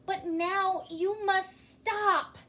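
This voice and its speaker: A woman's voice: extremely high pitch, steady tone, very slow, pushed and bright, voice pushed up, heavily acted. A woman voicing a brave, outspoken girl knight from a storybook, with an urgent, plain-spoken manner. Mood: shouting, urgent.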